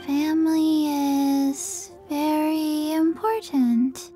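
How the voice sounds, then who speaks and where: A woman voicing a small, earnest zombie girl in a video game, with a flat, halting monotone delivery. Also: sits high, faint music behind.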